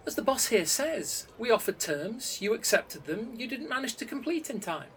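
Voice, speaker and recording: A man voicing a smooth-talking male schemer with a soothing, calming manner, recorded a bit distant from the mic. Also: very high-pitched, normal speed, a little hiss or hum, voice pushed up, heavily acted. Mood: placating.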